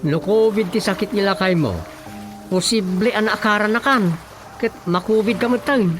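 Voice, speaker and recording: A man's voice: sits high, moderate pace, close to an everyday voice. An actor playing a practical, inquisitive village councilman in a conversational comedic radio drama, with an Ilocano accent and music behind him. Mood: practical, concerned.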